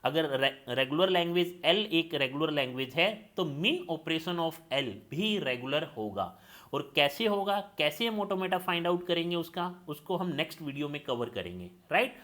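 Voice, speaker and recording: A man's voice: a little high, lively intonation, moderate pace, fairly bright tone. Man teaching with quizzing questions. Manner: calls students "children" and checks they follow; steps through examples, asking and answering his own questions. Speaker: lecturer. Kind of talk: classroom lecture.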